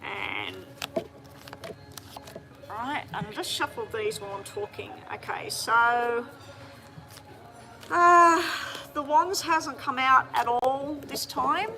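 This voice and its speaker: A woman doing a live-streamed tarot reading, talking straight to viewers in a chatty, hurried way. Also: fairly high pitch, gravelly, sharp tone, faint music behind, low-quality recording. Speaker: tarot reader.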